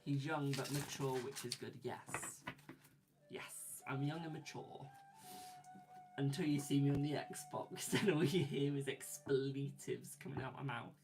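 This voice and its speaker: A man's voice: medium pitch, steady tone, a little slow, poor audio. Chatty young man reading viewer comments. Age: 20s. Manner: chatty, reads viewer comments aloud, laughs often. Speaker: tarot reader. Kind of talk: live stream chat with viewers.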